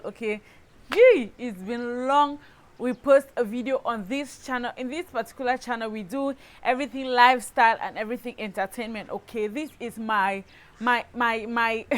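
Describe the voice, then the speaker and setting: A woman with a Cameroonian accent telling a personal story in a casual vlog style, animated and emphatic, often checking in with the listener. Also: on the high side. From Cameroon, southwest region. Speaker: lifestyle YouTuber, host.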